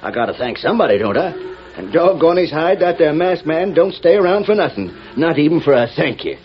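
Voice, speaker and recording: Lively old-West American rancher boss. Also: male voice, a little high, brisk. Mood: triumphant, commanding. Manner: folksy cowboy drawl and dialect. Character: gruff, folksy cattle rancher boss, stern and excitable. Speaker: radio drama actor. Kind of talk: 1940s western radio serial acting. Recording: muffled, noisy old radio broadcast.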